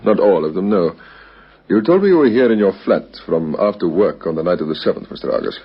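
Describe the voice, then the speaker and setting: An actor playing a calm, relentless police captain, measured and formal, in an old radio crime drama. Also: male voice, low-pitched, husky, exaggerated voice. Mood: pressing, accusing. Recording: muffled vintage radio recording.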